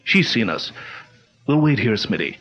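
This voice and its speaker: An actor playing a calm, commanding male crime-fighter chief in a 1940s-style radio drama, speaking in a measured, steady way. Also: lowish voice, faint music behind. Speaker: lead actor. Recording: dull lo-fi old radio recording.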